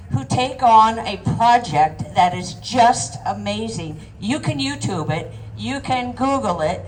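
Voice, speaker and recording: An older woman, a retired teacher, giving an indignant public comment at a town hall, heard from a distant mic. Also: on the high side. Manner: indignant, deliberate. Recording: distant mic, faint noise.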